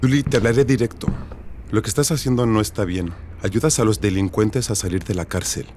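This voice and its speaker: A man's voice: lowish voice, fairly quick, noisy background, natural voice. An actor playing a stern, reproachful male police official with a blunt manner in an audio drama.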